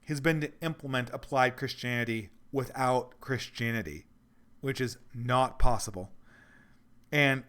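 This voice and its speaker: A man's voice: mid-range voice, a bit bright. A man hosting a casual livestream Q&A, losing his voice, rambling earnestly and thinking aloud as he answers viewers. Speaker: livestream host reading donations.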